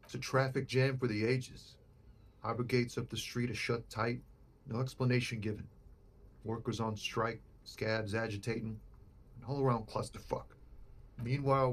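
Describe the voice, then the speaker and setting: An actor playing a laid-back, slangy trucker with a poetic, performative streak in a video game. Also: male voice, mid-range voice, natural voice. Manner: theatrical, drawling cadence, slangy. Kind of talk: video game dialogue acting.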